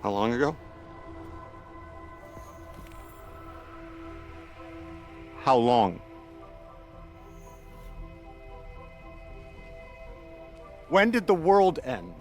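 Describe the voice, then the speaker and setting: A man's voice: mid-range voice, big pitch swings, moderate pace, soft background music, natural voice. An actor playing an earnest, emotionally open man displaced from his own time in a video game cutscene. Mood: distraught, incredulous.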